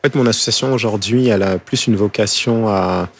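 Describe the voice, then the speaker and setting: A man's voice: fairly low pitch, fairly quick, breathy edge. A man from France, a fashion designer and school founder, answering interview questions in a hesitant way full of fillers and restarts. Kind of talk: radio interview.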